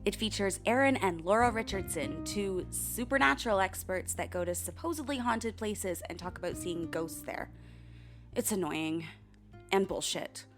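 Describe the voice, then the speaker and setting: A woman's voice: medium pitch, expressive, normal speed, music in the background, natural voice. An actress playing a blunt, sardonic female coast guard officer who narrates her own investigation in a radio drama. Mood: dry, uneasy. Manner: dry, curt, sardonic.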